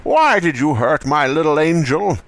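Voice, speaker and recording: A high-pitched, overblown entitled aunt, played by a man. She is indignant and demanding, and her voice swoops up and down as she rages in a comic story reading. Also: big pitch swings, moderate pace. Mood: outraged. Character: shrill, furious, entitled aunt defending her son.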